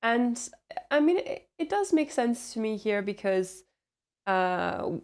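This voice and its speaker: A woman's voice: a little high, very animated, slow pace, darkish tone. A woman commentating on a chess game, chatty and explaining moves with light laughs.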